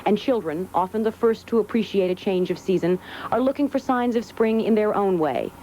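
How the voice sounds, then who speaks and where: Mellow American woman reporter, faint noise behind. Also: medium pitch, fairly quick, poor audio. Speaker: TV news reporter. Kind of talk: whimsical scripted feature narration.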